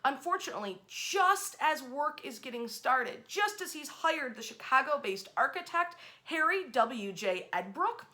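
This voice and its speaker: A woman's voice: sits high, a little slow, hardly any pauses. An American woman hosting a history storytelling series, speaking in a casual, conversational and emphatic way. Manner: animated and emphatic, acts out short quotes.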